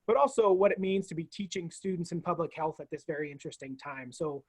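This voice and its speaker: A man hosts a university talk over a video call, running through housekeeping and introducing his guest in a friendly way. His voice sits on the high side, and the sound is dry and close. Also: fast, no room sound. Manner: friendly, housekeeping announcements with fillers. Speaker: university webinar host. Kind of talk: hosting a video call interview.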